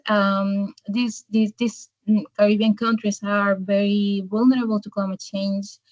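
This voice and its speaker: Peruvian woman, flat earnest intro, dry dull-sounding mic. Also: medium pitch, no echo. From Peru. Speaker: climate analyst guest. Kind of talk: self-introduction on a webinar.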